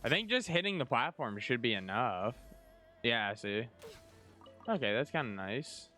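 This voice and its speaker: A young man chats casually through his gameplay, thinking aloud in a rambling way. His voice is bright and clean with very lively pitch, and soft music plays behind him. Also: a little slow. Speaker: gaming streamer.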